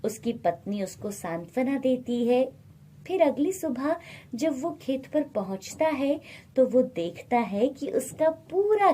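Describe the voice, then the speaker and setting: A woman narrates a scripted bedtime moral tale as a storyteller. Her voice sits fairly high and is slightly husky, and she takes her time. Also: mellow.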